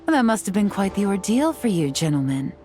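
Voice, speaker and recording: A woman voicing a cool, shrewd, composed investigator in a video game, speaking in a measured, knowing, self-assured way. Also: medium pitch, big pitch swings, warm tone, soft background music, natural voice. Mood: wry, composed. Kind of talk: English video game voice acting.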